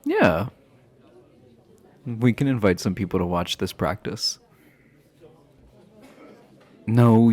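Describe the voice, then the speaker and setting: A man playing a low-voiced, slow, flirty himbo jock. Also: darkish tone.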